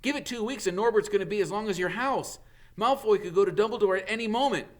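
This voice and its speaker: A man's voice: high voice, quick pace, pitched above the performer's own voice, lightly acted. A man voicing an earnest schoolboy wizard in a storybook, urgent and insistent.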